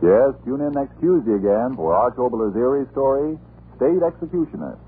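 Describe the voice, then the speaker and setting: A male radio announcer with an emphatic, deliberate sales delivery in vintage radio advertising style. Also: medium pitch, expressive, breathy edge. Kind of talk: 1930s-40s radio ad reading. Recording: tinny muffled old radio recording.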